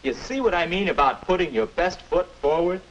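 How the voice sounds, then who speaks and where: A man's voice: sits high, a little fast, natural voice. A young male actor playing a confident American 21-year-old, patiently persuading and advising in a 1950s educational film. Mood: instructive, persuasive. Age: young adult. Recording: dull 1950s film soundtrack.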